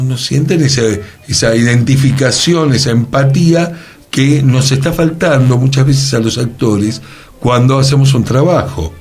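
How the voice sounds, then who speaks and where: A man's voice: mid-range voice, a little mellow. A man, talking reflectively in a conversational radio discussion. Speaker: actor on a radio show.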